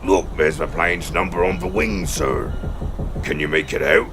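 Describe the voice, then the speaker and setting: An actor playing a gruff, indignant first mate on a fishing boat in an old-time radio drama. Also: male voice, deep voice, huge pitch swings, measured pace, background noise, full character voice. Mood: excited, alert. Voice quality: gravelly.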